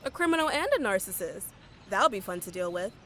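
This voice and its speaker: A woman's voice: mid-range voice, big pitch swings, fairly quick, fairly bright tone, a little hiss or hum. An actress playing a stern, protective woman in charge, scolding and commanding. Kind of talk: audio drama acting.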